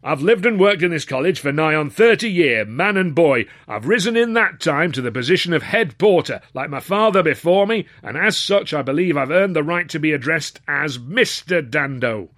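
A man's voice: fairly high pitch, lively intonation, moderate pace, few pauses, fairly bright tone, no room sound, clean studio sound, pitched above the performer's own voice. A man voicing an indignant old college head porter in an audiobook, barking pompously in working-class phrasing. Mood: stern, indignant. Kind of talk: audiobook character voice.

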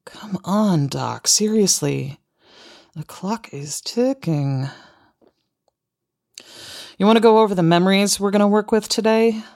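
A woman's voice: mid-range voice, measured pace, creaky, long pauses, darkish tone. An actress playing a wry, curious young woman in a naturalistic audio drama, talking in a hesitant, sardonic, conversational way. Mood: wry, casual.

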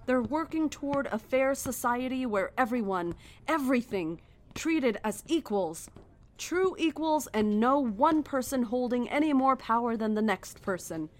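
An actress playing a serene, fanatical cult leader in a horror audio drama, speaking in a measured, solemn, preaching way. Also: female voice, a little high, animated. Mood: calm zeal.

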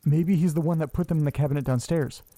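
Guy acting as a nervy lonely man talking to himself, horror audio drama, soft mellow voice, kinda high, super dry sound. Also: steady tone, no room sound, close to an everyday voice. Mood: puzzled, uneasy. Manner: halting, muttering, talking to himself. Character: anxious, lonely, self-doubting man talking to himself and his pets.

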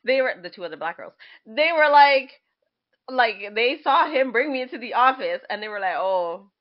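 A young woman in her twenties talks casually, like a co-host sharing anecdotes. Her voice sits a bit high, with a conversational storytelling flow. The audio is slightly dull. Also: lively intonation, normal speed. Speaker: podcast co-host. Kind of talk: casual chat and anecdote telling.

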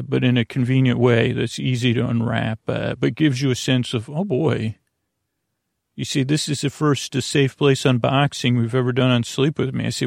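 Creaky-voiced, meandering male sleep podcaster. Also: mid-range voice, darkish tone, no room sound, clean studio sound. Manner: halting, self-interrupting, trailing into tangents. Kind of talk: rambling, meandering bedtime monologue.

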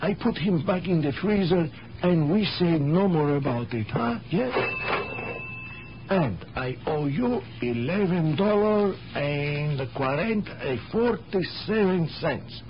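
An actor playing a kindly, fussing immigrant shopkeeper in a radio drama, repeating himself in broken English. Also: male voice, fairly high pitch, lively intonation, slow pace. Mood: soothing, coaxing. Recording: muffled old radio broadcast, faint hiss.

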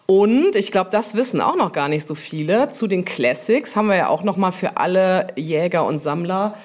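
A woman's voice: fairly low pitch, animated, normal speed, a little mellow. A woman, chatting informally as an interviewee and explaining her work, often checking for agreement with little tag questions. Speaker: brand manager being interviewed on a podcast. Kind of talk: casual interview talk, explanatory.

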